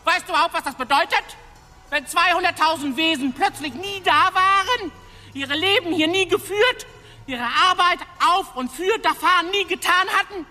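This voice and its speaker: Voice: very high voice, moderate pace, bright, forceful tone, full character voice. An actor in a science fiction radio drama playing an arrogant, choleric, ancient alien scientist who rants with pompous indignation. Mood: bitter outrage. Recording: long reverb, music underneath.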